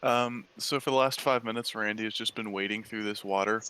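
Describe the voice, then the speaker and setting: Bright-toned, lowish-voiced male gamer. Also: steady tone, fairly quick, clear, resonant tone. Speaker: tabletop game player. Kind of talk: casual third-person game chat.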